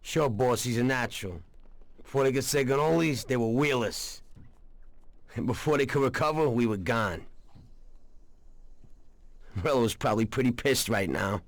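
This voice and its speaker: A man plays a streetwise American gangster in a crime game. His voice sits at an ordinary male pitch, and he talks bluntly in chummy street slang. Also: animated, normal speed, long pauses, no echo, lightly acted. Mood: proud. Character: brash, streetwise Italian-American mob enforcer. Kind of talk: dramatic video game cutscene acting.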